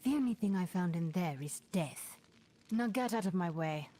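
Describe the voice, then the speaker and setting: A woman's voice: on the low side, lively intonation, moderate pace, mellow. A woman voicing a brash, proud young demon hunter in a video game, blunt and commanding. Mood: brusque, determined. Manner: blunt, commanding, self-assured.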